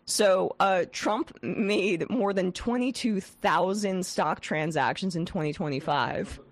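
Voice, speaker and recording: Woman host with a head cold and vocal fry. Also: lowish voice, slow pace. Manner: animated, scathing, with frequent restarts. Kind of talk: political talk show commentary.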